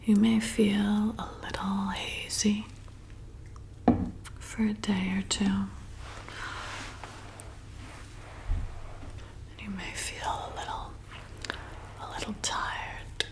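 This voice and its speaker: A woman's voice: mid-range voice, flat delivery, vocal fry. A woman playing a flirty, hypnotic vampire bartender in an ASMR roleplay, soothing and coaxing as she repeats herself. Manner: soothing, coaxing, slow and repetitive.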